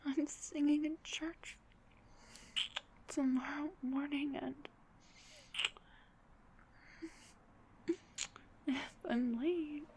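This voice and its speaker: Shy, slow-spoken dullahan girlfriend, acted by a woman. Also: high-pitched, fairly even intonation, noisy background. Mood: tender, playful.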